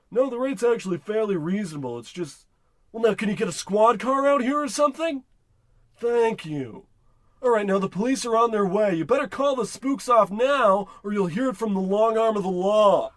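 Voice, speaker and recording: Man playing high-voiced, blustering radio-comedy salesman. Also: animated, moderate pace, no room sound. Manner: blustering, bossy, muddled tough talk. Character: brash, demanding, dim-witted male travelling salesman. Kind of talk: parody of old radio comedy-drama acting.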